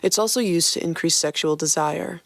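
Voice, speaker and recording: A woman voicing a morbid, aloof gothic young woman in a flat, dry deadpan for a fan fiction podcast reading. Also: fairly low pitch, moderate pace, vocal fry, no room sound, pitched below the performer's own voice.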